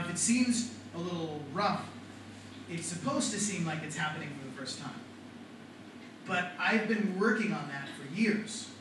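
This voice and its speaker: A middle-aged man teaching a classroom lecture on rhetoric, lively and asking the class questions. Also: a little high. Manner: animated, talks to the class with questions. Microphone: far from the mic. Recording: echoey classroom from far off, noisy.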